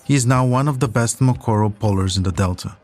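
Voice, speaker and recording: A man's voice: medium pitch, fast, a little mellow. A man delivering scripted documentary narration as the storyteller of a podcast.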